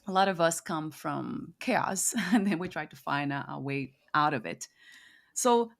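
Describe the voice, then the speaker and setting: A woman's voice: low-pitched, expressive, unhurried, creaky. A woman hosting a reflective conversational interview, musing aloud and often searching for her words. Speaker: podcast host interviewing a guest.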